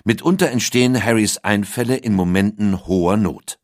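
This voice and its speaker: A man's voice: lowish voice, normal speed, a little mellow, no room sound, studio quality. A man reads scripted documentary narration as one of its narrators. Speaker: second narrator of an audio documentary.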